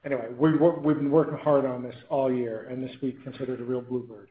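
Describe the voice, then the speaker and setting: An American man from New England commenting off the cuff as an executive on an earnings call, halting and often restarting his sentences. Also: mid-range voice, fairly even intonation. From New England, United States. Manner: halting, self-correcting, with repeated restarts. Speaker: company chief executive. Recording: tinny phone line with room echo.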